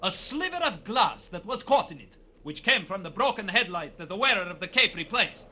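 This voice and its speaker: A high, sharp-voiced half-crazed killer. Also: male voice, very animated, brisk, exaggerated voice. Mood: menacing, bitter. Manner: theatrical, menacing, bitterly taunting. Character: half-crazed, delicate male ballet dancer turned murderer. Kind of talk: 1940s radio crime drama acting. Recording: old radio recording, faint hiss.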